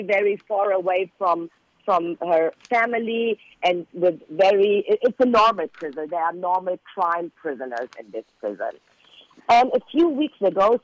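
Earnest high-voiced man, Persian native speaker. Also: no echo, poor audio. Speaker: guest, relative of a political prisoner. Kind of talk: prepared advocacy statement.

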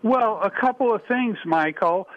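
Firm high-voiced male activist, muffled sound. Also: lively intonation, a little slow, no room sound. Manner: firm, declarative, campaign-style phrasing. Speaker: guest, activist. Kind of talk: interview answer.

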